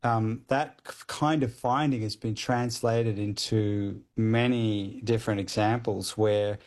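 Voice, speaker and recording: A man's voice: lowish voice, steady tone, slow, a little mellow. A man speaking as a guest expert on a radio show, explaining studies at length with many hedges like kind of and you know.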